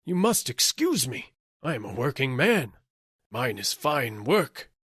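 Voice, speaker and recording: An indignant working man acted by a male narrator pleads his case in a storybook. His voice is pushed high and swings widely as he whines and wheedles. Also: very animated, a little slow, fairly bright tone, slightly rough, no echo, studio quality, exaggerated voice. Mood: aggrieved, pleading. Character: aggrieved, whining male workman seeking damages. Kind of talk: audiobook character acting.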